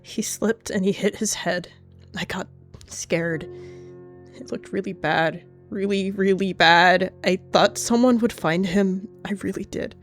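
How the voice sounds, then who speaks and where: A woman's voice: mid-range voice, fairly even intonation, measured pace, natural voice. A woman voicing a secretive young she-cat who turns defensive and snappy, with faint music behind. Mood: frantic.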